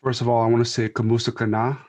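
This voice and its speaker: A man's voice: mid-range voice, little pitch movement, quick pace. A Filipino American man sharing casually as a panel guest, easygoing and chuckling, with many fillers. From United States, Filipino American. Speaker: church worship team member, panel guest.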